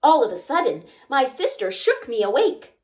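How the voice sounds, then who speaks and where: A woman reading a children's picture book aloud expressively, pausing to ask young listeners simple questions. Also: sits high, big pitch swings. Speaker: children's story time reader.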